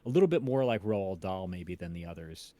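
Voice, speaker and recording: A man reading aloud in a measured, suspenseful storytelling style. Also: sits low, very animated, dark tone, no room sound. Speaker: cast member reading a story aloud on a stream. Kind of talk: dramatic story reading, then casual chat.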